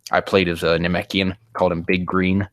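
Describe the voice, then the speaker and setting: A man with a low voice hosts a casual livestream, recorded dry and close with very little room sound. He rambles haltingly, often muttering to himself, and swears offhandedly as he goes. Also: flat delivery, fairly bright tone, dry recording. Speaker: livestream host.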